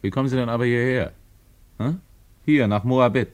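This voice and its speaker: An actor playing an inquisitive man in a German radio drama, probing with measured, insinuating questions. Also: mid-range voice, natural voice.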